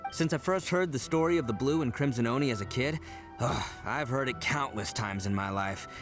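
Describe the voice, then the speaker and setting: A man's voice: medium pitch, brisk, music in the background, close to an everyday voice. A man voicing a boisterous, proud young male Oni gang leader in a game cutscene, given to hearty laughs. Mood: earnest. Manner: boastful, hearty laughs, earnest when serious.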